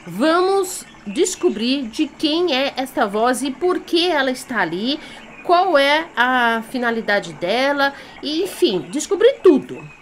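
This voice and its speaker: A woman's voice: high voice, slow pace, slight background noise. A Brazilian woman telling a children's story theatrically and off the cuff, in a conversational way that checks in with listeners. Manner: animated, conversational, addressing listeners with check-ins.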